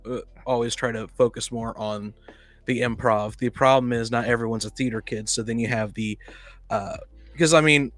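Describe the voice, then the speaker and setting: A man speaking haltingly as he discusses tabletop games on a podcast, often repeating and rephrasing himself. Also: mid-range voice, fairly even intonation, fairly bright tone, faint music behind. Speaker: podcast panelist.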